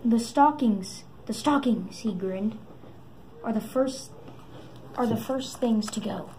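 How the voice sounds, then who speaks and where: A woman reading a children's story aloud in halting phrases, sometimes repeating words. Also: fairly high pitch, warm tone. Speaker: storybook reader. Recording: noisy amateur recording.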